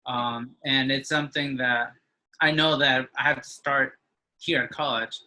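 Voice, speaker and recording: A man in his twenties, an interview guest, talking with halting speech and frequent restarts. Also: mid-range voice, bright, forceful tone, rough recording. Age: 20s. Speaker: interview guest, engineering student. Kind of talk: casual conversation.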